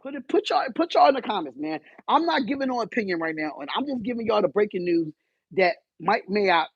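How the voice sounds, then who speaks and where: A man hosting a live stream, loud and animated, swearing and arguing back at his chat. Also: high voice, brisk, slightly rough, dry recording, highs cut off. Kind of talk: casual live stream rant.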